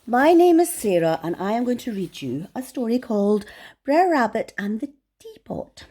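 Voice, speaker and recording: A woman reading to children as a storyteller, expressive and affectionate in how she addresses young listeners. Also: on the high side, moderate pace.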